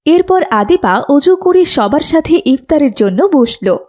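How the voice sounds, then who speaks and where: A woman's voice: high-pitched, very animated, a little slow, a little mellow. A woman narrating a scripted moral story for children.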